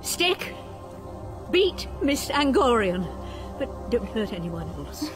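A woman's voice: fairly high pitch, sing-song, takes it slowly, music in the background. A woman playing a determined, kind-hearted heroine with magic in an audio drama. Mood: resolute. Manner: earnest, imploring.

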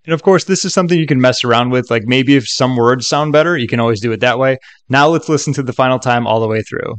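A man giving a chatty, upbeat, casual software walkthrough as a tutorial presenter. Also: mid-range voice, fast, no echo. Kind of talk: casual screen-recording walkthrough.

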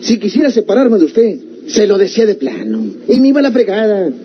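A man's voice: sits high, big pitch swings, brisk. An actor playing a sly, stubborn, blunt country man with a rural northern Mexican accent in an old radio drama. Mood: firm, defensive. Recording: dull, noisy old radio recording.

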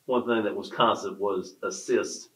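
A man's voice: lowish voice, fairly even intonation, unhurried, fairly bright tone, rough recording. A man working as a sports reporter, asking questions at a postgame press conference. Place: indoor press conference room.

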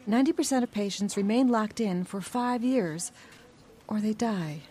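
A woman's voice: mid-range voice, moderate pace, mellow, close to an everyday voice. An actress playing a middle-aged, concerned and encouraging mother in a radio drama.

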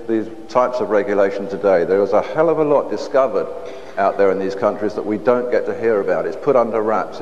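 A man's voice: lowish voice, darkish tone. Middle-aged man lecturing on a lo-fi hall recording. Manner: measured, with frequent restarts and repeated words. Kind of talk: public lecture. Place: stage with curtain backdrop, indoors. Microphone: lapel mic. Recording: dull lo-fi hall recording with faint hiss.

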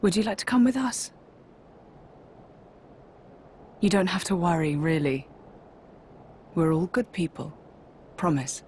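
A woman's voice: fairly low pitch, expressive, normal speed, a little mellow, slight background noise, close to an everyday voice. A woman playing a kindly, reassuring young warrior in a video game cutscene. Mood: gentle concern.

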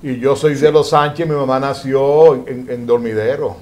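Man, mid pitch, TV interview host, halting, repeats words. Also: steady tone, darkish tone. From Dominican Republic. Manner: quick, halting, with repeated false starts. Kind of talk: TV studio interview.

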